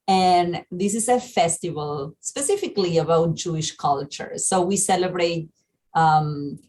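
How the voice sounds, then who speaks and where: A woman's voice: fairly low pitch, measured pace, darkish tone, slight background noise. A woman from Argentina with an Argentine Spanish accent, a programming manager explaining a festival in an interview, with many fillers and restarts.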